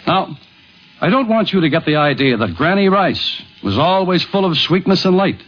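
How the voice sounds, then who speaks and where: Animated American radio narrator, higher-voiced man. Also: normal speed, soft background music. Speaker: narrator and host of a radio tribute. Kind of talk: scripted 1950s radio narration. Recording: muffled vintage 1950s radio recording.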